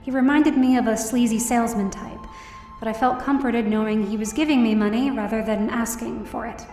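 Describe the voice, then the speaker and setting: A woman's voice: fairly high pitch, fairly even intonation, brisk, darkish tone, close to an everyday voice. A woman playing a hopeful, down-on-her-luck heroine who tells her own story earnestly and expressively in an audio drama. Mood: reflective, hopeful. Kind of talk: first-person audio drama narration and dialogue. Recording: roomy echo, distant mic, music behind.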